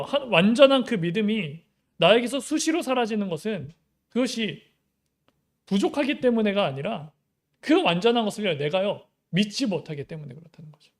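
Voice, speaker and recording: Male pastor, young adult, high-pitched, chatty sermon style with rhetorical questions. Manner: conversational preaching with many rhetorical questions.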